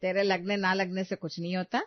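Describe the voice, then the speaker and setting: A woman's voice: on the low side, flat delivery, a little fast, dry recording, highs cut off, lightly acted. A woman voicing a stubborn, disapproving older mother-in-law in a cartoon story. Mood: scolding, exasperated.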